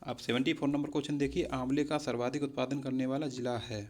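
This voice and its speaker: A man's voice: mid-range voice, flat delivery, fast talker, a little mellow. A man teaching an exam practice lesson, reading questions and explaining answers in an instructional way. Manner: instructional, repeatedly checking key points. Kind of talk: explanatory quiz lesson, addressing students as friends.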